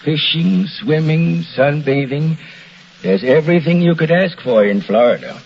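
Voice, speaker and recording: An American actor playing a contented middle-aged husband in a 1940s radio commercial testimonial. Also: male voice, a little high, lively intonation, unhurried. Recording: muffled old radio recording, faint hiss.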